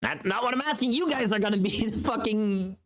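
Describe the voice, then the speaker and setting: A streamer chatting casually and playfully on a livestream, thinking aloud in an expressive way. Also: mid-range voice, a little fast. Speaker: livestreamer and voice actor making a voice pack.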